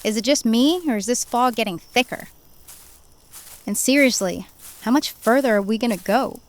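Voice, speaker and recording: A sceptical young woman played by an actress speaks in a somewhat high voice. She is wary and quick to show exasperation, in the manner of a horror audio drama. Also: lightly acted.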